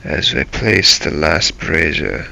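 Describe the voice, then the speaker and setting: A man narrating a game role-play as a first-person story, in halting, broken phrases. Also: very deep voice, very animated, vocal fry, pushed and bright.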